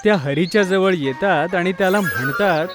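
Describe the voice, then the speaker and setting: A man's voice: sits high, normal speed, music in the background. A man reading scripted narration for a children's moral story.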